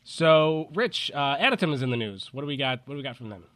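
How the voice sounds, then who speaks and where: A man's voice: mid-range voice, animated, quick pace, a bit bright, no room sound. A man hosting a podcast in casual, joking chat, congested from a cold. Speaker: podcast host.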